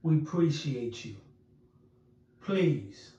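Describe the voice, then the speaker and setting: Distant echoing man, dull sound, extremely slow, mellow, channel announcement. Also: on the high side, expressive.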